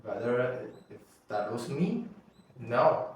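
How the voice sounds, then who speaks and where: A young man whose first language is Tamil, telling a halting, earnest confessional story and often restarting his words. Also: mid-range voice, lively intonation, a little mellow, a little hiss or hum. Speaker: vlogger. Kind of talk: confessional monologue. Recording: echoey room, mic at a distance.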